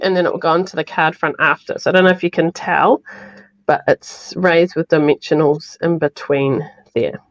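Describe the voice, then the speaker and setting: A woman with a New Zealand accent, sounding croaky from illness, chatting through a live crafting tutorial step by step. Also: lowish voice, no room sound, highs cut off. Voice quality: slightly hoarse. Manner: chatty, explains step by step with fillers. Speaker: craft demonstrator.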